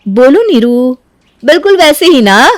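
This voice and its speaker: A smug sister-in-law in a family morality tale, acted by a woman. Her high voice swings up and down as she needles her rival with pointed, drawn-out mockery. The sound is close and dry. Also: big pitch swings, dry recording. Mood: smug, taunting. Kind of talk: dramatised Hindi moral story voice acting.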